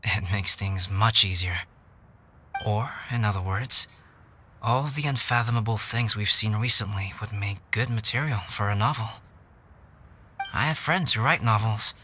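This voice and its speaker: A man playing a calm, reserved young intellectual in a video game, speaking in a measured, articulate and formal way. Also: lowish voice, slight background noise. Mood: composed, reflective. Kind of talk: video game cutscene acting. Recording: background music under the voice. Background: game music.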